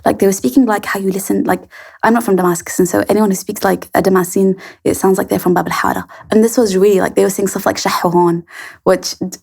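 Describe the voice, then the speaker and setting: A young woman leads a relaxed interview and slips easily into long personal stories, dotted with ums. Her voice sits in a middle range with a lot of vocal fry, and it stays conversational and easygoing. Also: mid-range voice, fast talker. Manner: chatty, storytelling, frequent fillers.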